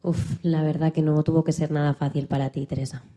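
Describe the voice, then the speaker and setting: A woman's voice: sits low, little pitch movement, brisk, mellow. A woman interviewing a guest on radio in a conversational style.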